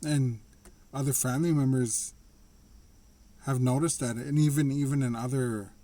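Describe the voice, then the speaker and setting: A man talking casually to the camera in a slightly raspy voice, rambling and restarting his sentences. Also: mid-range voice, fairly even intonation, dark tone. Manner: rambling, halting, with frequent restarts and laughs. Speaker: vlogger. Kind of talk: casual personal monologue.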